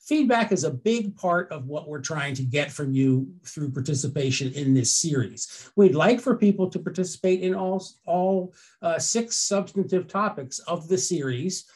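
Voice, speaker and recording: A man's voice: a little high, animated, normal speed, hardly any pauses, a little mellow, husky. Older American man presenting a webinar. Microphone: headset.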